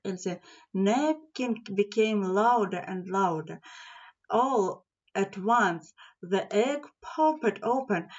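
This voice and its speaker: Slow-reading woman telling children's tales. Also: medium pitch, dull audio. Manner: deliberate, expressive reading. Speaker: audiobook narrator. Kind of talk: scripted children's storytelling.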